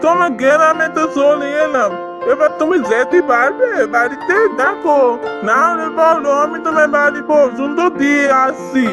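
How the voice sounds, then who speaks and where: A woman's voice: sits high, normal speed, natural voice. A woman playing a kind, earnest, hard-working village husband in a fairy-tale cartoon. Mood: concerned, kindly. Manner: earnest, caring. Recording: music behind the voice.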